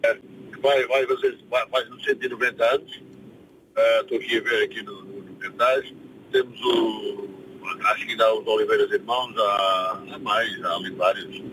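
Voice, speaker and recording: A man's voice: on the low side, steady tone, moderate pace, background noise. A man from Portugal with a European Portuguese accent, speaking as a guest in a casual radio chat. He is hesitant and easygoing and often repeats his words.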